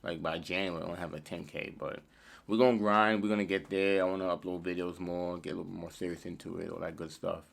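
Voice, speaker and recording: A man's voice: sits low, fairly even intonation, fast talker, gravelly. A young man from Queens, vlogging in a casual, rambling way that is full of "you know" and "like". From Queens, New York. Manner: laid-back, rambling, lots of "you know" and "like". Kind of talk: casual talk to camera.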